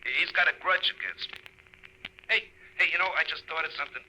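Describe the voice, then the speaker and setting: Brash, sharp-voiced hobo ghost. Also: male voice, on the high side, fairly even intonation, fast talker. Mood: nervous, scheming. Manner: folksy uneducated slang, chummy, needling. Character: brash, slangy ghost of a train-hopping male hobo. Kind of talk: 1950s comedic radio acting. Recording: noisy old radio recording.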